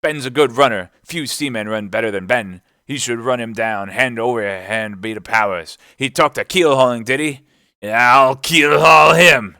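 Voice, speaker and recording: Male reader as old sailor landlord, bluff, blustering, salty talk, bright forceful tone, storybook villain, dry recording. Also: low-pitched, lively intonation, unhurried, no echo, heavily acted. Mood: excited. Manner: loud, forceful exclamations in salty seaman's talk.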